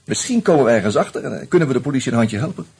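An actor playing an earnest, troubled man turned amateur sleuth in a Dutch radio play, insistent and halting as he reasons aloud. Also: medium pitch, sing-song, vocal fry, darkish tone, husky, full character voice. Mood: insistent, agitated. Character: earnest, agitated amateur sleuth, a murdered man's loyal friend.

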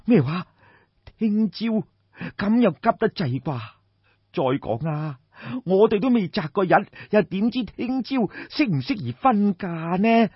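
A man's voice: high-pitched, expressive, unhurried, breathy edge, dry recording, muffled recording, pitched above the performer's own voice, exaggerated voice. A man voicing an anxious, easily alarmed king in an audiobook, stammering and repeating himself as he exclaims. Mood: startled.

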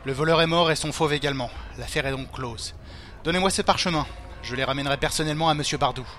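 A man acting a condescending police prefect in an audio drama. His voice is bright, forceful and fairly high, pompous and dismissive, impatient with those beneath him. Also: quick pace, close to an everyday voice.

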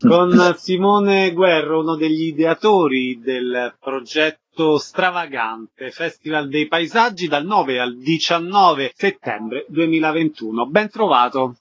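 Higher-voiced man hosting radio. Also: slow pace, hardly any pauses, faint music behind. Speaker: radio interviewer, host. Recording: slightly dull radio recording.

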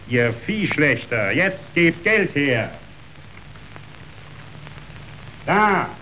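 An actor playing a ruthless yet sentimental meat magnate in a verse radio drama, declamatory, wheedling and self-pitying. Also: male voice, high-pitched, a bit bright, exaggerated voice. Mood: imperious. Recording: dull radio recording, faint noise.

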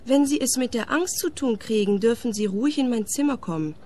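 A cheeky squatter. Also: female voice, a little high, expressive, brisk, mellow. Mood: teasing. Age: young adult.

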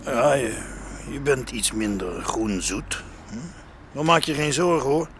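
A man's voice: medium pitch, expressive, measured pace, natural voice. Actor as a worldly city man, teasing, faint street noise. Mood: wry. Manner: laid-back, teasing.

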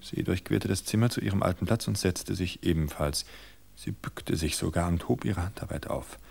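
A man reading a suspense story aloud in a steady, measured narration. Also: low-pitched, creaky, slightly rough. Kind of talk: scripted audiobook narration.

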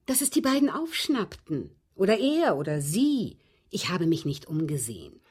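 Radio play casting: a composed, well-mannered widow with a secret, lowish husky voice, precise and faintly amused. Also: female voice, big pitch swings, normal speed, a little mellow. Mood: chatty, matter-of-fact. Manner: polite, precise, unruffled, coolly amused. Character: genteel, composed widowed lady calmly confessing to poisoning. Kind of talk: German radio drama acting.

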